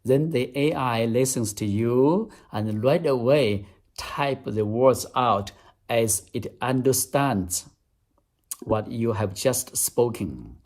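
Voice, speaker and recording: A man's voice: medium pitch, animated, takes it slowly, darkish tone. A man with a Chinese accent, a native Chinese speaker, teaching English step by step in an app demonstration. He talks earnestly and repeats key phrases.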